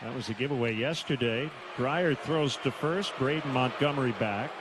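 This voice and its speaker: A man calling live baseball on the radio in clipped, measured phrases with pauses between plays. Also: medium pitch. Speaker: baseball play-by-play announcer. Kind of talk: live radio play-by-play commentary. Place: stadium broadcast booth. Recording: noisy stadium radio broadcast.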